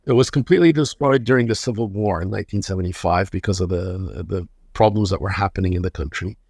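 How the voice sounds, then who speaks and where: A gravelly, fairly low-voiced man in his fifties, from Lebanon, tells his story as an interview guest. He talks unhurriedly and often repeats a word while he finds the next phrase. The sound is close and dry, with no room around it. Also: quick pace, darkish tone, dry recording. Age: 50s. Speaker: guest, hospital chairman.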